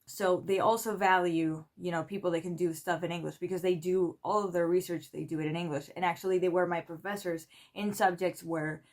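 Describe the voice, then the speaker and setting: A woman's voice: lowish voice, a little fast, few pauses. Chatty young woman from Spain. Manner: chatty, rambling, full of "like" and "you know", lively quoted voices. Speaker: PhD student vlogger. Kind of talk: casual storytelling to camera.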